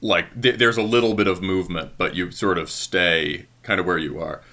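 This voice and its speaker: A man's voice: low-pitched, fairly even intonation, normal speed, sharp tone. A man interviewing a guest in casual conversation, rambling with many hedges and fillers and laughing easily.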